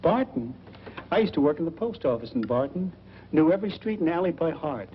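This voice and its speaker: A muffled old film track with faint noise carries an actor playing a nosy American boarder. The man is chatty and inquisitive, with a very animated delivery from the 1940s screen. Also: fairly high pitch, brisk, lightly acted. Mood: curious, probing.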